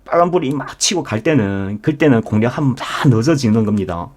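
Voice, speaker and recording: A man's voice: mid-range voice, animated, fairly quick, a little mellow. A man lecturing live as a stock investment instructor, emphatic, often addressing listeners directly with rhetorical questions. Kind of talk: live market lecture with membership pitch.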